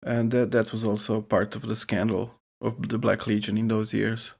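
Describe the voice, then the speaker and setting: A man's voice: fairly low pitch, level tone, moderate pace, vocal fry, darkish tone, slightly rough. A man co-hosting a podcast, explaining history in a casual conversational style. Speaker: podcast co-host.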